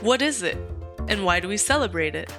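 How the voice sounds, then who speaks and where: A young woman, a student host, reading a scripted narration in a clear, steady way. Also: mid-range voice, a little fast. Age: young adult. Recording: voice-over with music behind.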